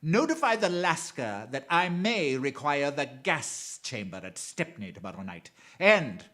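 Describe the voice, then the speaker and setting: A villainous criminal mastermind in a radio drama. He speaks slowly, with great swoops of pitch, savoring each threat and gloating as he repeats it. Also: big pitch swings, a bit bright. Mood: scheming command. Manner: slow relishing menace, chuckling, repeats phrases. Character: male crime mastermind, menacing, gloating, theatrical.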